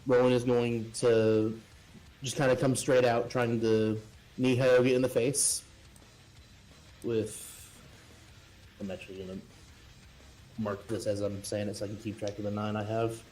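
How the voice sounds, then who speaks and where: A man playing a tabletop role-playing game, casually describing moves and thinking aloud in a halting way. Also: lowish voice, level tone, a little mellow, soft background music, rough recording.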